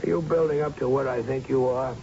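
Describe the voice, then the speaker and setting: An actor playing a determined, insistent young newspaper reporter in a 1970s-style radio drama. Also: male voice, mid-range voice, fairly even intonation, fairly quick, dark tone, lightly acted. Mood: pressing, determined. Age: young adult. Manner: insistent, pressing, self-assured. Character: dogged, accusing young male newspaper reporter. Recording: dull, noisy old radio recording.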